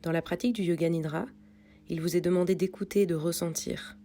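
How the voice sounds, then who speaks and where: A woman reading a guided yoga nidra meditation in a calm, soothing, unhurried way with pauses. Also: lowish voice, slight background noise. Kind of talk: guided meditation read from a script.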